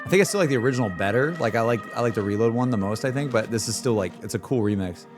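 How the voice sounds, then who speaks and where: A man chatting casually as a streamer reacting to music, with an excitable, slangy, rambling way of talking. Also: on the low side, rapid-fire. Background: music playing behind the voice.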